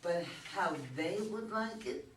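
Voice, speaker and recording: A woman's voice: lowish voice, slow, a bit bright. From across an echoey room comes a woman playing a poor woman tenant in a play reading. She takes her time, wry and insistent, needling and coaxing, with faint noise around her. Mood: indignant, sardonic. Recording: distant roomy live recording, background noise.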